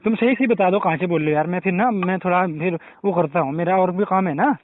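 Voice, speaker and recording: A high-voiced, rapid-talking man with a Kumaoni accent, pestering the other person with jokey, persistent questions. The sound is thin and phone-like. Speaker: prank caller. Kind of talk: comedy prank call. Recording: tinny phone line.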